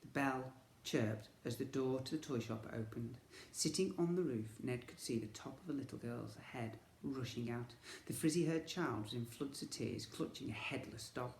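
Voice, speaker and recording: A man reading a children's story as a teacher would, with dramatic, expressive narration. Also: mid-range voice, normal speed, vocal fry. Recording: echoey room.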